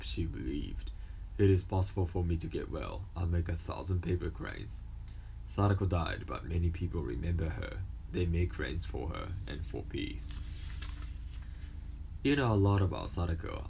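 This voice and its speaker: A young man whose first language is Japanese, speaking English with a mostly American accent and a slight Japanese trace, reading textbook lessons aloud with halting restarts. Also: low voice, gravelly, dark tone, a little hiss or hum. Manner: halting, with restarts and self-corrections. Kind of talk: casual talk, then reading school textbook passages aloud.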